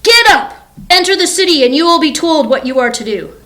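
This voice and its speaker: A woman voicing a commanding, solemn God in a Bible story read aloud for children, loud and emphatic in her delivery. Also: sits high, expressive, a little fast, pitched above the performer's own voice. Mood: commanding, booming.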